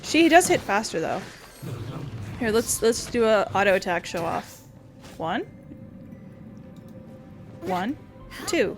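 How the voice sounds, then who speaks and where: A woman's voice: mid-range voice, very animated, takes it slowly, long pauses. A woman giving casual, rambling let's play commentary as a gaming streamer. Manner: rambling, informal. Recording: game music behind the voice.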